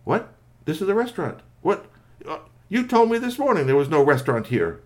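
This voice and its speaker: An earnest biker from a children's story, voiced by a man. He sounds excitable and bewildered, hesitating as he speaks, pitched higher than usual. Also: moderate pace, lightly acted. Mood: baffled.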